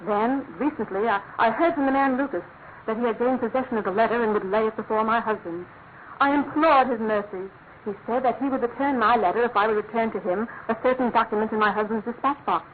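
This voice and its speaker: Through a thin, phone-like sound with noise comes a highish voice of an actress as an aristocratic English wife. She pleads in formal, halting phrases, full of guilt and anguish, in classic radio drama fashion. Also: natural voice. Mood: anguished, pleading. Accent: English upper-class.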